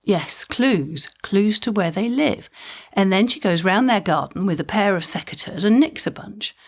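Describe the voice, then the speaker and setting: A woman's voice: mid-range voice, animated, normal speed. A woman playing a chatty, wry mother with a mischievous streak in an audio drama comedy, speaking conversationally with dry timing. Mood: mischievous storytelling.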